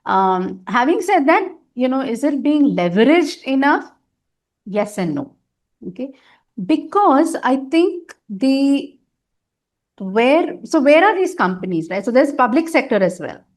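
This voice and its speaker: A woman's voice: high voice, sing-song, a little slow. A middle-aged woman, a guest in a candid interview, talking at length with emphasis and frequent fillers. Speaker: interview guest, nonprofit governance advisor.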